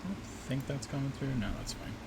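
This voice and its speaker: A man's voice: mid-range voice, brisk. A man with a slightly scratchy voice hosting a casual livestream from a small home desk, chatting loosely with lots of fillers and restarts as he works. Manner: rambling, relaxed, many fillers and restarts. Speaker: livestream host and map maker. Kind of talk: casual livestream chat while working. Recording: steady air-conditioner hum behind voice. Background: air-conditioning hum.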